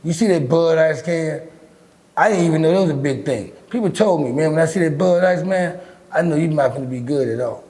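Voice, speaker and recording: Raspy middle-aged guy, loud and blunt, cussing a lot, voice sits a bit high. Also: a little fast. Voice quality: deep raspy voice. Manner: blunt, profane, animated storytelling. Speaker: interview guest. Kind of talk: casual interview talk.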